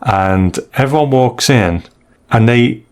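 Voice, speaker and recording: A man's voice: mid-range voice, slow, smooth. A man hosting a podcast, talking through stories in a casual, slightly hesitant conversational way. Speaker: podcast host. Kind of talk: casual comic review discussion.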